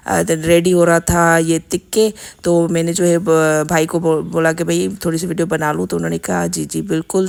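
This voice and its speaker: Hoarse woman in her thirties, low gravelly flat delivery, chatty vlog narrator. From Pakistan. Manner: chatty, filler-heavy narration. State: hoarse, sore throat. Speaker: vlogger. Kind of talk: vlog voice-over.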